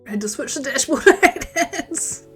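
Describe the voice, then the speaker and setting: A woman's voice: high voice, expressive, unhurried, fairly bright tone, soft background music. A woman from New Zealand hosting a crochet livestream, chatting casually and rambling, sometimes muttering stitch counts under her breath. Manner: chatty, rambling, reads out and answers chat. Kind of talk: casual chat while crocheting.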